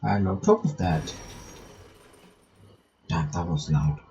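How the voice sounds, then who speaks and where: Guy doing a visual novel playthrough, gravelly creaky voice, reads lines then comments, slightly muffled noisy mic. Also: low voice, sing-song, takes it slowly, warm tone. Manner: breaks off reading to comment and reread lines. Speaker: gaming reaction video maker. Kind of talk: reading visual novel text aloud with casual commentary.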